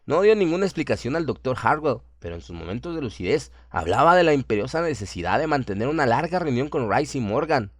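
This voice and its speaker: A man's voice: mid-range voice, sing-song, quick pace, hardly any pauses, no room sound. A man narrating a scripted horror story in a dramatic radio style. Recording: prominent music under the voice. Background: music.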